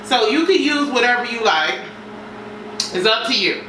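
A woman's voice: on the high side, moderate pace, a little hiss or hum. A woman in her thirties, chatting casually as she cooks at home. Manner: chatty, relaxed, folksy phrases. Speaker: home cooking vlogger. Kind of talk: casual cooking demo. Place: home kitchen, indoors. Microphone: far camera mic. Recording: roomy kitchen, distant mic, clattering dishes.

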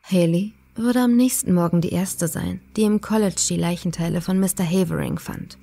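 A woman reading a horror story as a scripted, dramatic narration, measured and suspenseful. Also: on the low side, gravelly, warm tone. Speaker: audiobook narrator. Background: faint atmospheric music.

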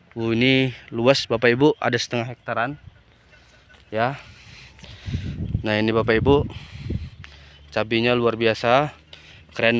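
Casting: man, 30s, clear resonant mid-pitched voice, casual farm vlogger with hesitant phrasing. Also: fairly bright tone. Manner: hesitant, repeatedly addressing the audience. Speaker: farming channel host. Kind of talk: casual field vlog addressed to farmers. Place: outdoors in a chili field. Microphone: phone at arm's length.

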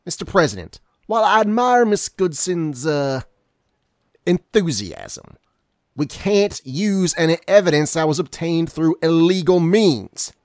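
Principled federal agent for a male actor: highish voice, very lively pitch, formal, skeptical, audio drama. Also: a little slow, dry recording, clean studio sound. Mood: firmly objecting. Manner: formal, earnest, objecting.

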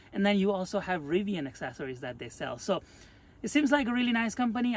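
A man in his thirties casually reviewing a product outdoors, chatting while he demonstrates it by hand. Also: sits high. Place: outdoors beside a car, moving around the trunk.

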